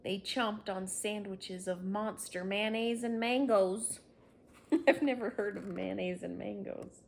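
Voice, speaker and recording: A woman's voice: mid-range voice, slow, gravelly, darkish tone, a little hiss or hum. A woman reading a children's story aloud, narrating expressively and without hurry. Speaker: storybook reader.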